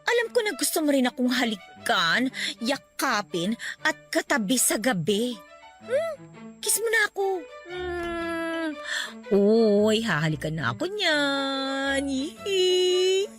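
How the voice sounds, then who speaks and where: A woman's voice: sits high, big pitch swings, measured pace, fairly bright tone, heavily acted. An actress playing a persistent, playful young mother in a radio drama, nagging and coquettish, with music underneath. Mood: insistent, then teasing, delighted. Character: persistent, teasing young mother in love with best friend.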